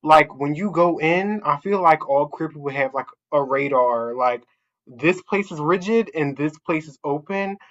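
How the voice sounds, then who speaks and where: Young adult man, chatty panel speaker, bright edgy tone, muffled lo-fi sound. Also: on the high side, a little slow. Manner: chatty, heavy use of "like" and "right?". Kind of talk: casual personal storytelling.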